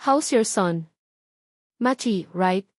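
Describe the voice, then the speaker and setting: A woman narrator plays a kind male company boss in an audiobook drama. He is gentle and friendly, in a close, dry recording. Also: medium pitch, animated, drawn-out words, dry recording. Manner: gentle, considerate.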